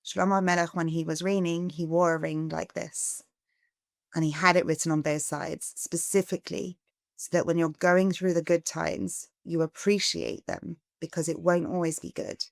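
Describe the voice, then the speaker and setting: A middle-aged woman from England, a charity founder giving a podcast interview, earnest and growing animated as she asks for help. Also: lowish voice, moderate pace, creaky, no echo, studio quality. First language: English.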